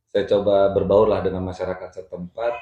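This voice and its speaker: A man's voice: low-pitched, level tone, a little fast, warm tone, muffled recording. A middle-aged man telling his life story in casual, colloquial chat, often repeating his phrases. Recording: echoey room.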